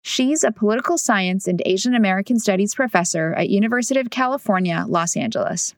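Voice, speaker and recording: A woman's voice: medium pitch, fast, no room sound, studio quality. A woman narrating a scripted documentary podcast as its host.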